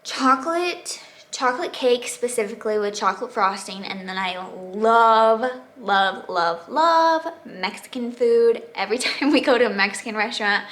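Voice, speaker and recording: A woman in her twenties with an American accent, chatting casually to viewers as a vlogger in a bubbly, expressive, emphatic way. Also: on the high side, normal speed. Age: 20s. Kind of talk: casual question-and-answer chat to viewers.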